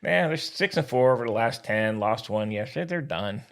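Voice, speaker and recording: A man with a lively, swooping delivery and a gravelly edge to his voice. He talks as a podcast co-host in casual sports banter. His manner is blunt and slangy, with a teasing streak. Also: mid-range voice, moderate pace.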